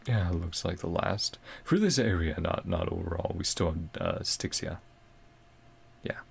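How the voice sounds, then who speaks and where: A man's voice: sits low, moderate pace, creaky, a little hiss or hum. A man giving casual, off-the-cuff gameplay commentary, often muttering half to himself as he plays. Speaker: gaming video creator.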